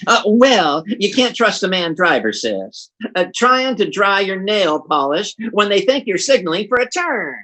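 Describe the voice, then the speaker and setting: Man playing a blustering, animated radio-comedy husband. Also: high-pitched, a little slow, few pauses, a little hiss or hum, full character voice. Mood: flustered grumbling. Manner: stammering, folksy, slangy. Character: blustering, grumbling, comically indignant husband. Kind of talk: 1940s radio comedy acting.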